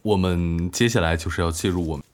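A man's voice: deep voice, brisk. A man in casual conversation, polite, tending to repeat his phrases. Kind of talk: casual chat. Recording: music behind the voice. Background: music.

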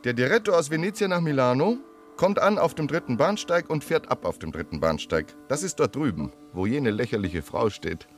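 A man acts out an officious male interpreter on a railway platform in a radio play. He is self-important and declamatory, and his pitch swings widely. Faint music runs behind him. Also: animated, brisk, close to an everyday voice. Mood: officious, dismissive. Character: obliging, self-important male station interpreter, quarrelsome husband. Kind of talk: German radio play acting.

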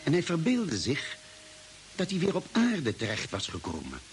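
A man as an earnest narrator of an old radio space adventure, reading scripted narration in a measured, dramatic way. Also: fairly high pitch, huge pitch swings, slight background noise.

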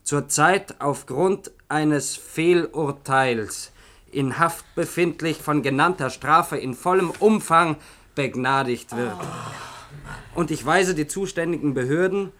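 A self-important official, formal and declamatory, voice a bit high, radio drama. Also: male voice, slow pace, a bit bright. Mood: solemn, official. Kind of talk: German radio play acting.

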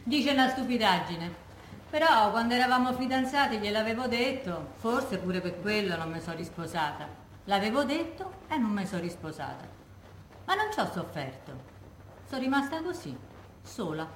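An actress playing a proud, irascible, solitary Roman war widow, giving blunt colloquial testimony in a Roman accent for the theatre. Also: female voice, mid-range voice, sing-song, normal speed, lightly acted. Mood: resigned, wry. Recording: echoey room, faint background noise.

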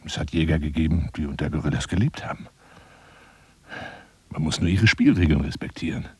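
An actor playing a lonely, self-dramatizing hunter in a radio drama monologue, wooing a woman in a courtly, theatrical, husky voice that drifts into whispers. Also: rumbling low, a little fast, a little mellow, no echo, exaggerated voice. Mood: confiding, boastful. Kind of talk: radio drama monologue as one side of a phone call.

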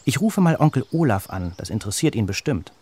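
A man voicing a gentle, encouraging mother with a coaxing manner in a children's story. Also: medium pitch, very animated, fast, breathy edge. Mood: brisk.

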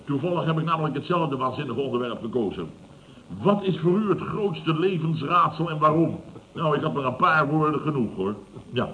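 An actor playing a pompous, self-satisfied middle-aged boss who exclaims and stammers when flustered, in old radio comedy style. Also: male voice, on the high side, animated, fairly quick, lightly acted. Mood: indignant, mocking. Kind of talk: 1970s Dutch radio comedy acting. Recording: dull, noisy vintage radio recording.

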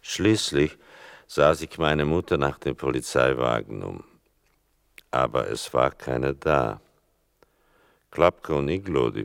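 An older man giving a literary scripted narration in a measured, wry, deliberate manner. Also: rumbling low, gravelly, no room sound, studio quality.

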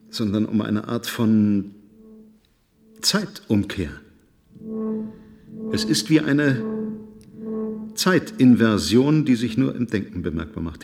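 An actor playing a controlled, clinical male officer of a space expedition in a German radio drama, formal and deliberate. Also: on the low side, creaky. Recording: music under the voice.

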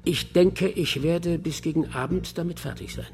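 An actor playing a self-assured, coolly clinical professor of surgery in a radio crime drama, precise and matter-of-fact. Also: male voice, on the high side, moderate pace, vocal fry, a little mellow, soft background music. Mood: clinical, confident. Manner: matter-of-fact, precise, unfazed by gruesome detail.

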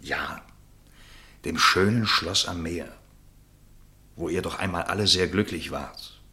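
A very deep, bright-voiced, urging gentleman. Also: slow pace, heavily acted. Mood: earnest, pleading. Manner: earnest, persuasive, articulate. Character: concerned, urging gentleman confidant, worldly and wry. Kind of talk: German literary radio play acting.

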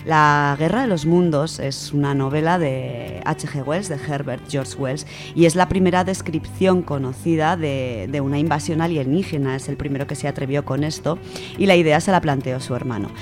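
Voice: mid-range voice, moderate pace. A radio presenter with an accent from Spain, talking about books in a chatty, enthusiastic way. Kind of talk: conversational radio book talk. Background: cello music.

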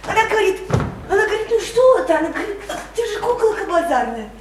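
A woman's voice: very high-pitched, very animated, quick pace. An actress playing a naive, lonely provincial young woman in a radio play, plaintive and insistent like a child. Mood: hurt insistence. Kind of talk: theatrical Russian radio play acting. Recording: roomy, distant stage sound, faint noise.

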